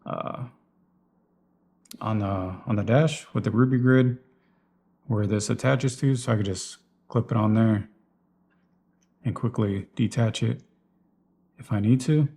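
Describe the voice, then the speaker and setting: A man's voice: on the low side, fairly even intonation, unhurried, long pauses, mellow. A man giving a casual, unscripted walkthrough of his gear in a plain, explanatory way, like a vlogger. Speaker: vlogger presenting personal radio gear.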